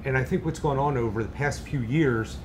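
A man with a mid-range voice speaks in a relaxed, reflective way, often saying "you know". He sits on a studio panel, and a rumble is clearly heard behind him. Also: a little fast. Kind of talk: panel discussion.

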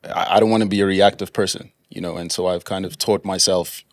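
A young man from Congo, a French speaker, talking calmly and in a laid-back way as a podcast guest. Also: a little fast, no echo. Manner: calm, low-key, laid-back. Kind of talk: casual chat.

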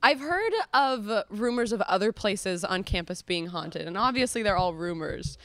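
Clear-voiced young woman host, chatty. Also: mid-range voice, expressive, moderate pace, fairly bright tone. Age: young adult. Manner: chatty, enthusiastic. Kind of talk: casual live commentary between radio plays.

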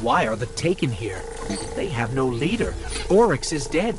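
A man's voice: mid-range voice, big pitch swings, moderate pace, very noisy, lightly acted. A man voicing a small, alert, anxious robot companion in a video game. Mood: puzzled, urgent.

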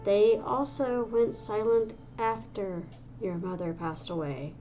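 A woman doing a calm, patient she-cat leader of a dwindling clan, soothing and steady. The audio is slightly dull and low-fidelity, with faint music behind. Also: slow pace, close to an everyday voice. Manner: gentle, soothing.

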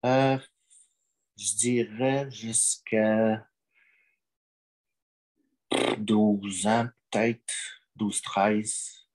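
A man's voice: lowish voice, level tone, very slow, darkish tone, poor audio. A man, calling in and talking in hesitant, rambling stretches full of fillers. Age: late 40s. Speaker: caller. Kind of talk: call-in conversation.

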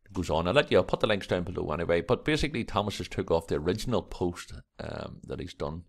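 Croaky low-voiced man. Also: expressive, quick pace. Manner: rambling, reads articles aloud with many fillers. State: croaky early-morning voice. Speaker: camera vlog host. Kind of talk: casual screen-recorded commentary.

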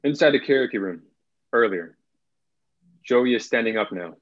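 A young man reading screenplay stage directions in a plain, measured way as narrator. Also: medium pitch, animated, lightly acted. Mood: measured, neutral. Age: young adult. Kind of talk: scripted narration, table read. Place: small room at home, on video call. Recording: dull video call audio.

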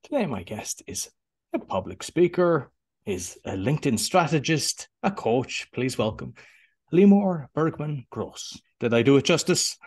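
A man's voice: medium pitch, sing-song, measured pace, a little mellow, husky. A man hosting a podcast interview over a video call with a headset, asking loose, hesitant questions. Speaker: podcast host. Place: home office with bookshelves, indoors.

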